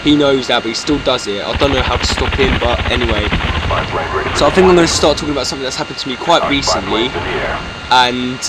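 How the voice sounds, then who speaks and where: A British young man in his late teens giving hesitant, monotonous gameplay commentary, full of ums and restarts. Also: medium pitch, fast talker, very noisy. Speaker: gaming YouTuber. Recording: loud game gunfire behind. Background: loud video game gunfire and explosions.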